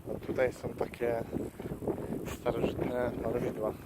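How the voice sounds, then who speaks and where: A man with a medium-pitched voice talks casually as a travel vlogger, showing things as he goes. He speaks informally and haltingly, looking for words. Very loud wind and water noise surrounds him outdoors. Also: completely flat delivery. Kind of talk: casual vlog commentary while showing sights. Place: outdoors by a lake and stream.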